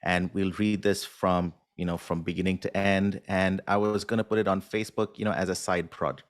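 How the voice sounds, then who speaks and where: A man's voice: sits low, little pitch movement, normal speed. A man answering as an interview guest in a casual, unassuming, self-deprecating way, with hesitant phrasing.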